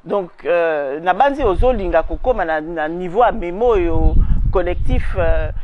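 A woman's voice: lowish voice, animated, a little slow, highs cut off, noisy background. A woman in her forties with a Congolese accent, giving an emphatic outdoor interview on a lapel mic. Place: outdoors in a garden.

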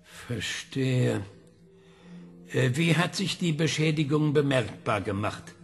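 Ruhig bohrender Wissenschaftler. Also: male voice, medium pitch, slow pace, vocal fry, soft background music. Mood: probing. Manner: calm, probing interrogator. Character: patient male scientist questioning a ship computer.

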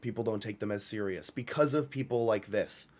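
A man's voice: lowish voice, fairly quick. Exasperated young man giving casual commentary. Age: young adult. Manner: exasperated, blunt, slangy. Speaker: reaction video host. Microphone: boom microphone close by.

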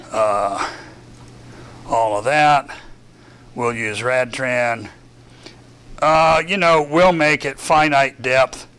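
Older man, Texan Southern accent, bright forceful tone, casual lecturer, darkened lecture room. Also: medium pitch. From Texas. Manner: casual, self-deprecating, thinks aloud with fillers. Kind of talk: software lab walkthrough. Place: dark lecture room with projector screen.

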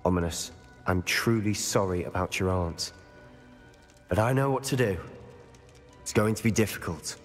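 Teenage wizard boy, male actor, lowish voice, determined, urgent, long reverb, video game. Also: normal speed, pauses often, lightly acted. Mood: grave, resolute. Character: determined, intense teenage schoolboy wizard drawn to dark magic. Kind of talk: dramatic video game voice acting. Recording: reverberant stone hall, game music.

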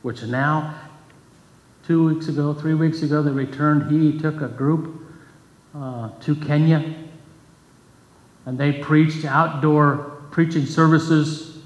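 Older male preacher, earnest measured delivery, slightly high voice, roomy church acoustics caught from a distance. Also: fairly even intonation. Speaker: pastor. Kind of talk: sermon. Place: church sanctuary, at a pulpit. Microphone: pulpit microphone, far camera. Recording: echoey church hall from far off.

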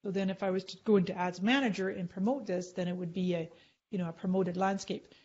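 A woman's voice: medium pitch, steady tone, fast, darkish tone, muffled recording. Upbeat woman giving a live screen tutorial. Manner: upbeat, chatty, talks while clicking through screens. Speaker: social media marketing trainer.

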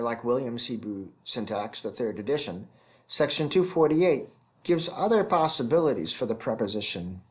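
A man in his fifties giving an explanatory theology lecture as a professor, speaking deliberately and stressing key words. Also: mid-range voice. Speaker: professor teaching scripture.

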